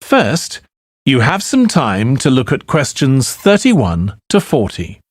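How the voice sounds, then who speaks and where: A man serves as exam announcer, reading scripted instructions formally and deliberately. His pace is slow and his pitch swings widely. The sound is dry, with no room to it. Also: huge pitch swings, no room sound.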